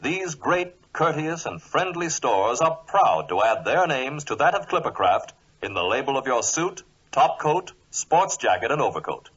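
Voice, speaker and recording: A husky-voiced man reading a 1940s radio advertisement with deliberate emphasis. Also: medium pitch, very animated, fairly bright tone. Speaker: commercial announcer. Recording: dull old radio recording.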